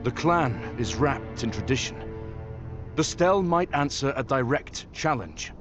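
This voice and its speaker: A male actor playing a solemn, steadfast young heir of a noble house, speaking in a measured, formal way in a fantasy game. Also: mid-range voice, expressive, over music, lightly acted. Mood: grave.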